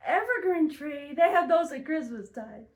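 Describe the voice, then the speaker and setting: A woman's voice: high voice, very animated, a little slow. A woman co-hosting a casual stamp show, joking around in a silly, playful way. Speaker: co-host. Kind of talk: playful comic banter.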